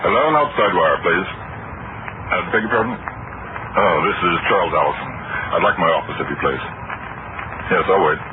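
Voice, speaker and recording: A man playing a brisk, commanding district attorney in an old-time radio drama, with an authoritative, clipped, formal delivery. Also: sits low, lightly acted. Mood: businesslike. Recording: noisy, muffled old radio broadcast.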